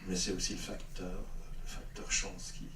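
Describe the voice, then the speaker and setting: A man's voice: deep voice, monotone, measured pace. A middle-aged man, a film director, answering audience questions at a screening with many fillers and restarts. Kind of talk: spontaneous Q&A answers. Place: cinema hall. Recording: echoey cinema hall, distant mic, faint noise.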